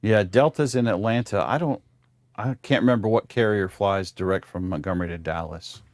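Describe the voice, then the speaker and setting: A man from the southern United States chats casually in a low voice. He has a dry, joking manner, recorded close with no room sound. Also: fairly quick, a little mellow, dry recording. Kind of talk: casual group chat.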